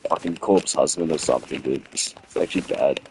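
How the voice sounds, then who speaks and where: A young man with a hoarse, sick-sounding voice gives rambling, swearing commentary as he streams a game and chats with viewers. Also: very deep voice, a little fast, darkish tone, slight background noise, rough recording. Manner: rambling, swearing, frequent restarts. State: hoarse from a cold. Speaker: gaming streamer. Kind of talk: casual livestream gameplay commentary.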